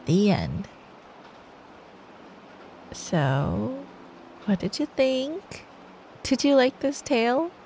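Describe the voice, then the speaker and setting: A woman's voice: medium pitch, a little mellow, slight background noise, poor audio. A woman doing ASMR bedtime storytelling as a girlfriend, affectionate, soothing and playful. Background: rain ambience.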